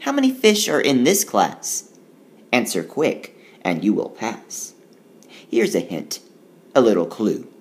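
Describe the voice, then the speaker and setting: Slow, sing-song male teacher. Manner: slow, sing-song rhyming read-aloud with lively pitch. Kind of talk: reading a picture book aloud and explaining math. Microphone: tablet screencast voice-over.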